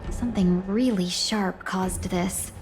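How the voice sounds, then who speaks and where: A woman's voice: medium pitch, steady tone, takes it slowly, over music, lightly acted. A woman voicing a sly, playful, genteel young businesswoman guide in a video game, coy and polished in manner. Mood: intent.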